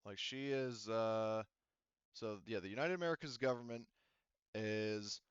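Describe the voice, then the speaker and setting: A man running a tabletop roleplaying game as game master, speaking casually and haltingly as he improvises details. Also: on the low side, clean tone, no echo, lo-fi sound. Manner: halting, hesitant delivery as he improvises.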